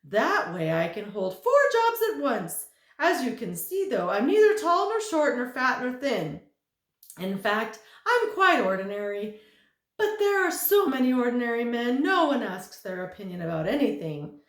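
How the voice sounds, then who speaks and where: A woman voicing a fussy, forgetful ordinary man who holds four jobs at once, in a high, loud and excitable storybook voice. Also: unhurried, reverberant. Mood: confiding.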